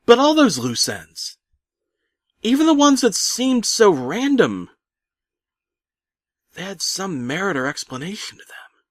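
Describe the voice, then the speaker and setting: A man's voice: high voice, fairly bright tone, no echo, clean studio sound. A man narrating a comic creepypasta in the first person, theatrical, wordy and exaggerated. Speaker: storyteller.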